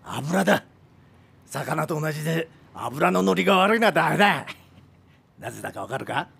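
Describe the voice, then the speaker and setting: A drunken, domineering film director in his seventies, in a radio drama. The voice is heavy with gravel and creak, pitched high, and it swings widely as he gives gruff orders and needles people. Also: lively intonation, moderate pace, a bit bright, full character voice. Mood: boozy, needling. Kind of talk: modern radio drama acting.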